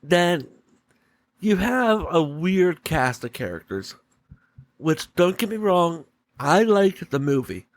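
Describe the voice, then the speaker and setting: A man's voice: high voice, big pitch swings, slow pace, no room sound. A man hosting a podcast solo, giving casual, opinionated commentary in informal language. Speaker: podcast host.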